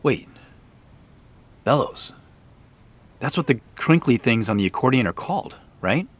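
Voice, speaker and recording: A man's voice: medium pitch, expressive, a little slow. An actor playing a polite, curious, somewhat hesitant man in a naturalistic audio drama. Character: polite, curious, hesitant male customer, Joseph.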